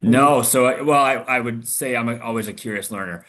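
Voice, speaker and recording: A man from Michigan, an investor answering questions on a video call, talking haltingly with many restarts and fillers. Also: mid-range voice, bright, forceful tone. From Michigan, USA. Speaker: investor guest.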